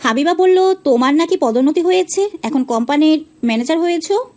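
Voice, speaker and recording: Woman acting bossy sister-in-law, high, fast, dry close sound, audio drama. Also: expressive, a little mellow, no echo. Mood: brisk. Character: decisive young sister-in-law, chatty and bossy.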